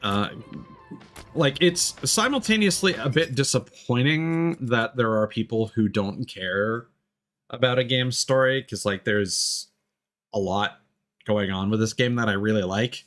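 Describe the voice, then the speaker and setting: Male livestreamer, medium pitch, casual rambling commentary, enthusiastic, sweary, music in the background. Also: a little slow, fairly bright tone. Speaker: video game livestreamer. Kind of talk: casual game stream commentary. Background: game music.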